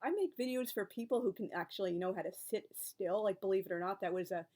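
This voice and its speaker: A woman's voice: mid-range voice, quick pace, dark tone. A woman in her forties talking through her own experiences as a book and author video creator in a casual, reflective way. She rambles along, stacking up asides and tangents, with a wry, exasperated edge when she turns to advice from others. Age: 40s. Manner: chatty and rambling, piling up asides. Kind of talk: casual reflective vlog talk.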